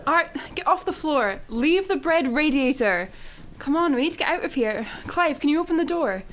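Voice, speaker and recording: Exasperated, practical spacefarer, played by a woman, high voice, brisk and bossy, sci-fi comedy radio play. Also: expressive, fairly bright tone. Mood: urgent, bossy. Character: exasperated, practical young female space traveller.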